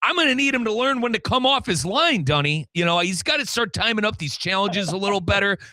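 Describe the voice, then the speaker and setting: A man hosting a sports talk show, interviewing a guest in an animated sports radio style. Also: high-pitched, fast, dry recording, studio quality.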